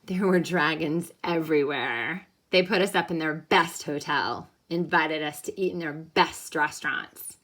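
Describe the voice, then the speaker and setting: A woman reads a picture book to children with a bright, forceful voice that sits a little low. She is expressive and animated, like a teacher guiding young listeners through a story. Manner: animated, pausing to ask listeners questions. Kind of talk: expressive storybook read-aloud with think-aloud questions.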